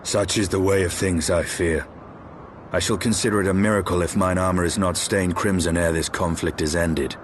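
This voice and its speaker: A proud dragon-slayer, acted by a man speaks in stern, measured, archaic phrases for a fantasy game. His voice sits deep, with faint noise behind it. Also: fairly even intonation, close to an everyday voice. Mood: grim, cold. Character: grim proud dragon-slaying knight, resolute and blunt.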